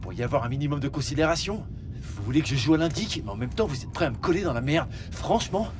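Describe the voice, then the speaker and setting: An actor playing a mouthy young street informant in a French audio drama, speaking in slangy protest. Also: male voice, expressive, fast, close to an everyday voice. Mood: indignant. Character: young male street informant, aggrieved, mouthy small-time crook. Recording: vehicle rumble under the voice. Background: vehicle ambience.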